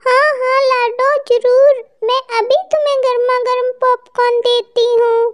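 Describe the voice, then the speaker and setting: Very high-voiced sweet auntie bird played by a woman. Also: flat delivery, measured pace. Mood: cheerful, affectionate. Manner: affectionate, earnest. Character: sweet, kindly popcorn-selling auntie bird. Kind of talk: children's cartoon story acting.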